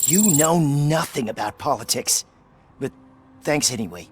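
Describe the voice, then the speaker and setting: An actor playing a defiant, snarky young street vandal in a video game. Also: male voice, mid-range voice, expressive, measured pace, a little hiss or hum, close to an everyday voice. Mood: dismissive. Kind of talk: video game cutscene acting.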